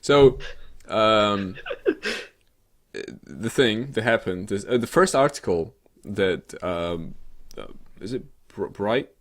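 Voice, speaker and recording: A man co-hosting a casual, sweary comedic news chat in a halting, rambling, sarcastic way. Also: fairly low pitch, expressive, fairly bright tone. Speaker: co-host of a weekly games show.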